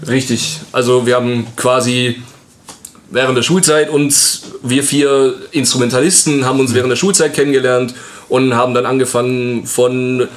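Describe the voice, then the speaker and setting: A 21-year-old man, a guitarist guest, calmly explaining his band's story in a casual interview. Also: mid-range voice, normal speed. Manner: calm, matter-of-fact explaining.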